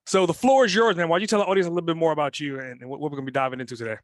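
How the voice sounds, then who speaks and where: A man hosting an interview show introduces his guest eagerly, with loose phrasing and plenty of "like" fillers. His voice sits a little high and sounds dry and close, with no room around it. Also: rapid-fire, no room sound. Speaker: podcast host.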